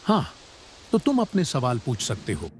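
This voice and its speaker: A man's voice: medium pitch, measured pace, a little hiss or hum. A man voicing a clever, brave young village man in a cartoon, talking eagerly and politely. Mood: curious. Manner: eager and polite, lively delivery. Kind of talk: cartoon voice acting.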